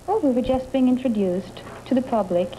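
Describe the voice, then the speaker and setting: A woman's voice: on the high side, a little fast, mellow, poor audio. Giggly young woman from Norway. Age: young adult. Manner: giggly, shy, brief answers. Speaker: contestant. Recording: 1950s broadcast recording with faint hiss.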